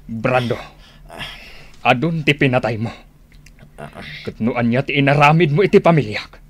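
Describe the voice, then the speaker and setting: Guy acting furious avenger in ilocano radio drama, ilocano accent, mid pitch. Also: a little slow, natural voice. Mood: enraged. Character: enraged, vengeful man condemning a killer.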